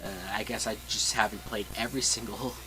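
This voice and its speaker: A man's voice: on the low side, flat delivery, fairly quick, poor audio. A man reviewing a movie in a casual, opinionated way, with emphatic, blunt and sweary delivery. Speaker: film reviewer.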